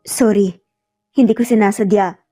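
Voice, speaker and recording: A woman's voice: medium pitch, fairly even intonation, moderate pace, warm tone, dry recording, studio quality, soft background music, lightly acted. A woman voicing a nervous, evasive young mother in a romance story, hesitant and apologetic. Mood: tearful, apologetic. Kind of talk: dramatic romance audio storytelling.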